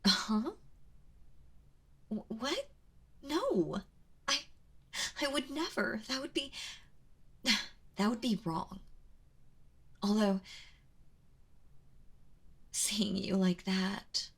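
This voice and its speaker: A woman playing a kind but obsessive, protective yandere in an intimate ASMR roleplay, speaking haltingly and often dropping toward a whisper. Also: mid-range voice, animated, fairly bright tone, full character voice. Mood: flustered denial, then smitten, embarrassed. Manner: hesitant, halting, breathy with drops into whispering.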